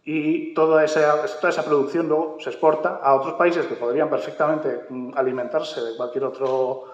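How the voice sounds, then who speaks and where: A man's voice: a little high, fairly even intonation, normal speed. A man from Mallorca speaking on a panel at a round-table talk, hesitant and full of fillers. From Mallorca, Spain. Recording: echoey hall, dull sound.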